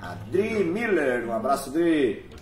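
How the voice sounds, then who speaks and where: A man hosting a live music stream, warmly thanking viewers by name in a casual, chatty way. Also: mid-range voice, sing-song, unhurried. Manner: warm, chatty, reads out viewer names and thanks them. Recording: echoey room from far off, faint noise.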